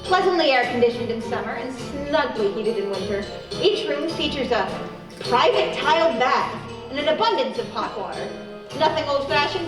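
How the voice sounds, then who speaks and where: An actress playing a genteel, formal female announcer on an old-time radio playhouse. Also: high-pitched. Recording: echoey room, music bed, distant mic.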